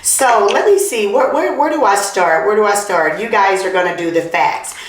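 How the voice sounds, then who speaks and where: An eager, loud middle-aged woman hosts a casual podcast chat, her voice at an ordinary middle pitch. She often repeats herself and talks away from the mic, so the room's echo is clearly heard. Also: lively intonation, moderate pace. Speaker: podcast host. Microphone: not wearing her clip-on mic. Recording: roomy echo.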